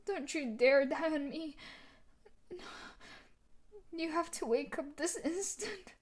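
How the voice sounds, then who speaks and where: A woman's voice: sits high, takes it slowly. A woman playing a tough, sadistic, possessive bully fiancée in a dramatic audio roleplay, scolding and emotionally intense. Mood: panicked, pleading.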